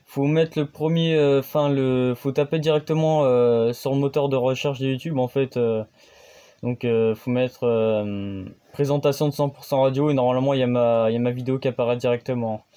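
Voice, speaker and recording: A man's voice: medium pitch, fairly even intonation, moderate pace, warm tone, clear, resonant tone. A man hosting his own amateur radio channel, explaining things casually and hesitantly, with many fillers and restarts.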